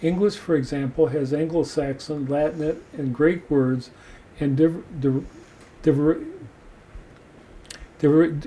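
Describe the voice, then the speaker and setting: Mellow-voiced man reading from a book. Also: on the high side, steady tone, slight background noise, low-quality recording. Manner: measured, reads passages aloud. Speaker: podcast host. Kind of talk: reading aloud from a book and discussing it.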